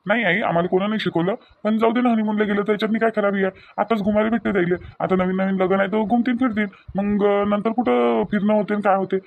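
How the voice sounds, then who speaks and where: A man's voice: high voice, steady tone, fast talker, vocal fry, hardly any pauses, no room sound, narrow, tinny audio, soft background music. A man playing an easygoing grown son in a family drama, pleading repetitively in a Varhadi Marathi accent. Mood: placating. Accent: Varhadi Marathi of Vidarbha. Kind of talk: amateur family comedy-drama dialogue.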